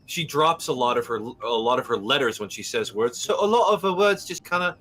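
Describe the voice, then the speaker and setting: A man's voice: mid-range voice, animated, a little fast, fairly bright tone, slight background noise. A man co-hosting a livestream, chatting casually and rambling, now and then slipping into put-on accents. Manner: rambling, playfully dropping into put-on British and Irish accents. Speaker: livestream co-host. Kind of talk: casual chat with impressions.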